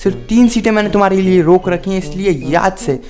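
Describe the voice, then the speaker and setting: A man's voice: steady tone, fast talker, darkish tone, faint music behind, close to an everyday voice. A man with an Indian accent playing a self-important young guru who solemnly pushes a success course in a comedy parody. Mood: solemn, pushy. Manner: solemn, pushy sales pitch.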